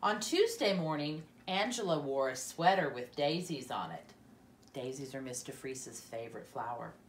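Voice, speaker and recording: A woman reading a children's storybook aloud in an expressive, deliberate manner, softening at the ends of dialogue. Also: rumbling low, echoey room.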